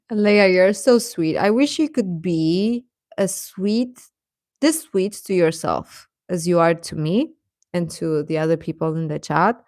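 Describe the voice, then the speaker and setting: A woman hosting a casual livestream, chatting to viewers in a gentle, encouraging, affectionate way. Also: fairly low pitch, slow pace, pauses often, no echo, clean studio sound. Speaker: livestream host.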